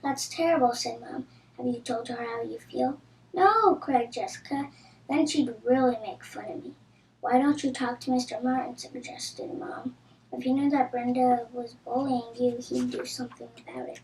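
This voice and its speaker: A woman's voice: fairly high pitch, normal speed, darkish tone. A woman reading a children's storybook aloud. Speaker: storybook reader. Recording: roomy echo with audible background noise.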